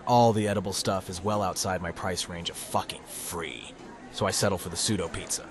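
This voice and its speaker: A man playing a cynical, anxious high school boy, narrating a visual novel in a deadpan, grumbling way. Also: a little fast, noisy background. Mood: anxious, sardonic. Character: cynical, anxious, foul-mouthed male high school student. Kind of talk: first-person visual novel narration and dialogue.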